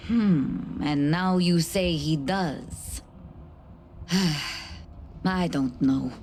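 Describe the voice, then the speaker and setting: Wry, very slow-talking skeptic. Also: female voice, deep voice, darkish tone, a little hiss or hum. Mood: doubtful. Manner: wry, sceptical, casual. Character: wry, skeptical woman, reluctant to trust. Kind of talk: scripted game cutscene acting.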